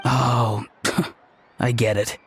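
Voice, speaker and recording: An actor playing an excitable young man in a video game who talks eagerly and jumps to conclusions. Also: medium pitch, drawn-out words, slight background noise. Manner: chatty, eager, jumping to conclusions. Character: excitable young man, a gangster-film fan. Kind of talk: video game cutscene dialogue.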